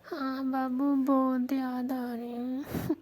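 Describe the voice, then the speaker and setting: A woman playing a shy, devoted young married Rajasthani village woman, speaking in a hushed voice to her secret lover on the phone. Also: flat delivery, slow. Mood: hushed, reassuring. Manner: hushed, speaking low so neighbours will not hear. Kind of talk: intimate romantic phone conversation, acted as a prank call recording. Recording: noisy phone call recording.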